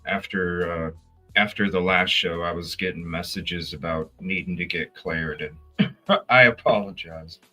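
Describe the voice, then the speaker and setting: Stuffy-sounding man with a deep voice. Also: bright, forceful tone, faint music behind, poor audio. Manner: rambling, halting, with many restarts. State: stuffy, congested. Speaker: livestream host. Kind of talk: casual live-stream chat.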